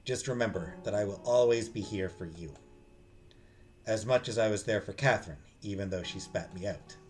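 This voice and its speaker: A man playing a stern but kind grieving man, speaking quietly and earnestly in a visual novel read-aloud. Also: fairly low pitch, normal speed, soft background music, close to an everyday voice. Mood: hurt restraint.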